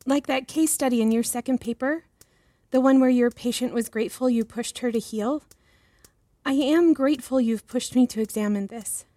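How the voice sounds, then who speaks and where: An actress playing a young, earnest and deferential patient in a fiction podcast, speaking in a hesitant, careful, apologetic manner. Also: female voice, on the high side, dark tone, no echo, natural voice. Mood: strained, grateful. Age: young adult. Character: young female patient, earnest, deferential, guarded, eager to please.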